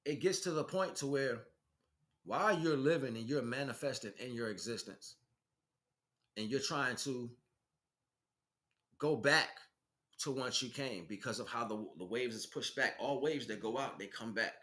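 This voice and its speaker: A man delivers an off-the-cuff spiritual monologue in a mid-pitched voice. He speaks like a teacher addressing followers, leaning on key phrases and repeating them for emphasis. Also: moderate pace, long pauses, a bit bright. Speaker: spiritual teacher, livestream host. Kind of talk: unscripted spiritual monologue, preaching.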